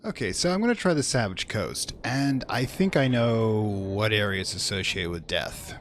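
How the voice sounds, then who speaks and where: A man's voice: lowish voice, expressive, moderate pace, faint music behind. A man hosting a gaming video, chatting casually and rambling as he thinks aloud during his let's play commentary.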